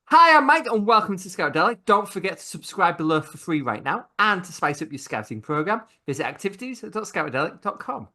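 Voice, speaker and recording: A man's voice: sits high, sing-song, fairly quick, few pauses, pushed and bright. A man hosting a casual video-call cooking chat, joking about himself and laughing a lot. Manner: chatty, laughs often, self-deprecating. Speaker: host.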